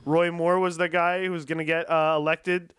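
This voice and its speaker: A man's voice: a little high, little pitch movement, moderate pace, fairly bright tone, smooth. A man ranting in casual, profane talk on a podcast, rambling and swearing a lot. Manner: rambling, swears a lot, acts out quoted people.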